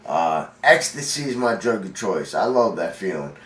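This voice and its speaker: A man's voice: low-pitched, sing-song, moderate pace, a bit bright. Congested man, far from the mic. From Long Island, New York. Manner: rambling, profane, streetwise. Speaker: vlogger. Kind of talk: casual storytelling monologue. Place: indoor room with a window. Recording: roomy, distant from mic.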